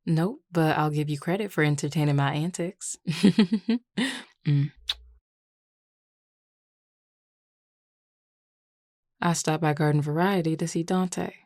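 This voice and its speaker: Flirty Southern US werewolf played by a woman, low-pitched, drawling and affectionate, close dry sound, intimate audio roleplay. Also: normal speed, darkish tone, no room sound. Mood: confiding, rueful. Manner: drawling, teasing, sighing, casual and affectionate. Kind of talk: intimate audio roleplay, one-sided conversation.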